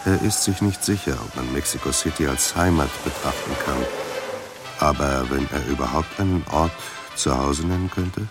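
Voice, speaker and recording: A man's voice: rumbling low, normal speed, loud music behind the voice. A man narrating a German radio crime drama in a sober, terse, matter-of-fact way. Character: sober noir male narrator of detective story.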